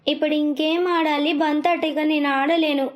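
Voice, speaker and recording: A woman voicing playful, chatty young twin girls in an animated children's story, with a childlike, pleading and teasing manner. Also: sits high, moderate pace, lightly acted. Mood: excited. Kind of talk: animated moral story dubbing.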